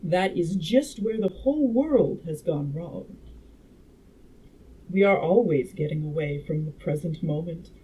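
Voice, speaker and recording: A woman reading aloud as a confident Victorian gentleman inventor who lectures in a didactic, assured way. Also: fairly low pitch, expressive, unhurried. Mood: insistent, lecturing. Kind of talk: audiobook-style character reading. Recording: noisy room background.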